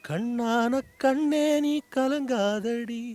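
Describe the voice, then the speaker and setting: A man sings film songs as an audition contestant. His voice is high and the phrases come slowly, over faint music. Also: a little mellow.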